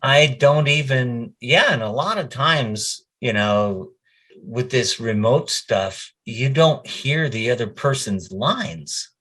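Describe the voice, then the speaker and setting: A man's voice: medium pitch, expressive, slow pace, a bit bright. A man speaking as a voice actor being interviewed, chatting casually and telling stories with quoted bits of conversation.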